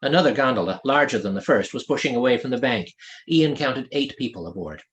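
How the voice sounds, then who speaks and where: A man narrating a story as an author reading his own novel, in an expressive storytelling delivery. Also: mid-range voice, fairly quick. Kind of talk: scripted audiobook narration.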